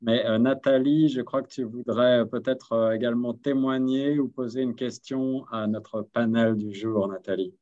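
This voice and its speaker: A man hosting and moderating a radio panel, speaking in a hesitant, halting way. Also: mid-range voice, fairly even intonation, mellow. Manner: hesitant, halting, with many restarts. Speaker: radio show host. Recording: dull lo-fi radio audio.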